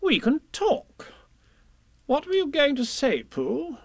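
A man voicing a bossy, overconfident rabbit from a storybook, brisk and self-important. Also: very high-pitched, wildly swooping pitch, vocal fry, no room sound, pitched above the performer's own voice, full character voice.

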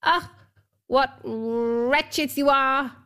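A woman's voice: high-pitched, drawn-out words, a bit bright, smooth, pitched above the performer's own voice, full character voice. A woman putting on a snappish little old dwarf. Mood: irritated, scolding. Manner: snappish, scolding, exclaiming. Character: irritable, rude little old male dwarf. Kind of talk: storybook character voice.

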